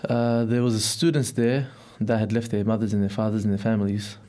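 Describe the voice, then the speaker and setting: A man's voice: fairly low pitch, level tone, a little fast, dark tone. A young man from Melbourne, answering interview questions in a reflective, hesitant way in a studio. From Melbourne, Australia. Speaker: interview guest.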